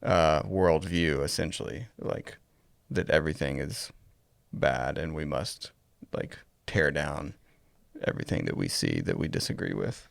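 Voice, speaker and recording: A man with a low, gravelly voice speaks as a podcast co-host in a close, dry sound. He is deliberate and conversational, often pausing partway through a thought to choose a word. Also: expressive, dry recording. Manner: deliberate, pausing mid-sentence to find words. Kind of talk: casual discussion of faith and culture.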